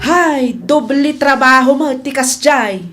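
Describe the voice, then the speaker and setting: A woman plays a very high-voiced village wife in an Ilocano comedy radio drama. She is evasive and whiny, always exclaiming and defending herself, with an Ilocano Filipino accent. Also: slow pace, over loud music. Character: evasive, complaining village wife who works as hired farmhand.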